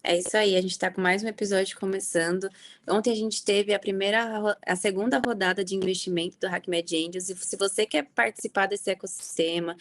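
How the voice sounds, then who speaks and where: A woman's voice: on the low side, dry recording. A woman with a Brazilian Portuguese accent co-hosting a podcast, giving announcements in a conversational way. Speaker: podcast co-host.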